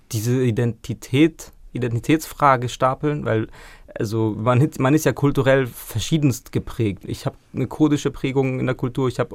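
Gravelly man, dry close sound. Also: medium pitch, dry recording. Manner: reflective, searching for words with restarts. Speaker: band member. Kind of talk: thoughtful interview answers.

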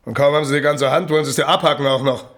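An actor playing a domineering, complaining small-town building boss who talks curtly, in naturalistic drama dialogue. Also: male voice, on the high side, fairly even intonation, racing, a bit bright, natural voice. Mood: angry, grumbling. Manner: curt, complaining, blustering.

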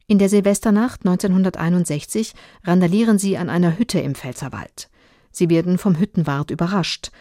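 A woman's voice: fairly low pitch, warm tone, no room sound, clean studio sound. A woman reading a scripted case summary in a sober, factual documentary style.

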